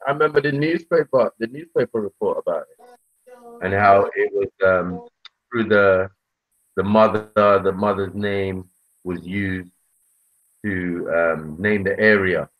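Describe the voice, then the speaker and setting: A man's voice: low-pitched, unhurried, no room sound. A man in an informal group discussion, backing up points and repeating phrases, recorded in dull lo-fi audio.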